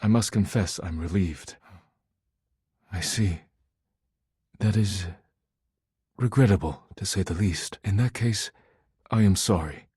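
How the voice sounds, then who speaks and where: An actor playing a formal, proud and earnest man in a video game, speaking in measured, precise phrases. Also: deep voice, a little mellow, slightly rough, dry recording, clean studio sound, full character voice. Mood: earnest, regretful. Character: formal, proud, earnest man devoted to his work and art. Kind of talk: video game character voice acting.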